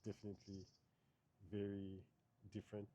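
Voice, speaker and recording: A man's voice: fairly low pitch, monotone, moderate pace, warm tone. A man from Haiti, in his thirties, answering interview questions in a thoughtful, hesitant way with many fillers and restarts.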